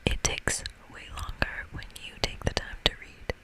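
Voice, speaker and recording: A host whispering softly and chattily through an ASMR video game playthrough, speaking without hurry. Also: moderate pace.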